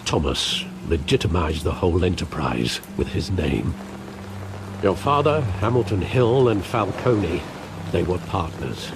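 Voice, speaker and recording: A grave old butler. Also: male voice, sits low, animated, noisy background. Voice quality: measured, formal and grave. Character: loyal elderly male butler, protective and sorrowful. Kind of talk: video game cutscene acting. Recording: game audio with rain ambience. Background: rain and ambience.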